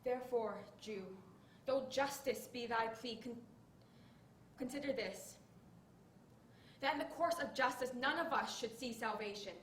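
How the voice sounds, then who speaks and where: A teenage girl playing a poised young noblewoman, reciting a measured, earnest speech on mercy, recorded from afar in an echoey hall. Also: a little high, close to an everyday voice. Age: teens. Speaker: student in a monologue contest. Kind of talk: stage monologue recitation. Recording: echoey room, distant mic, faint hiss.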